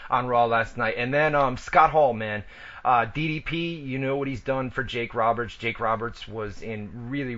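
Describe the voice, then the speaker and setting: A man's voice: mid-range voice, sharp tone, muffled recording. A man hosting a casual radio show, talking loosely with profanity and stumbling restarts. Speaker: wrestling radio show host.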